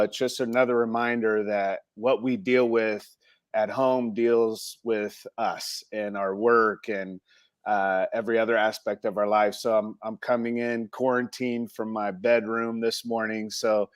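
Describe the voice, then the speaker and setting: A man's voice: fairly low pitch, little pitch movement, unhurried, smooth, dry recording. A man co-hosting a podcast from his bedroom, sounding stuffy and congested, chatting in a laid-back way. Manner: laid-back, laughs easily. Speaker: podcast co-host. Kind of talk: casual chat. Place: bedroom at home.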